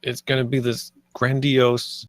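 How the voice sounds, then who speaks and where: Guy acting a stubborn park designer at a table read, pleading, mid-pitched, dry mic. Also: monotone, unhurried, dry recording, close to an everyday voice. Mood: earnest, pleading. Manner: pleading, insistent. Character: earnest, stubborn male theme-park designer, exasperated. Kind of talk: live screenplay table read.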